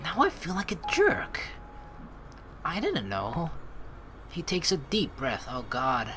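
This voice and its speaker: A young man hosting a game playthrough, reading dialogue aloud and adding casual, slangy, expressive commentary. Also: fairly high pitch, takes it slowly. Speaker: let's play host. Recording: game music behind the voice.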